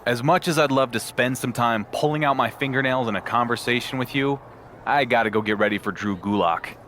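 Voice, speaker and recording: Guy voicing underdog wrestler in a video game, middle pitch, dry and defiant. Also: fairly quick, a bit bright, slight background noise, lightly acted. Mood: weary, defensive. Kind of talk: video game cutscene acting.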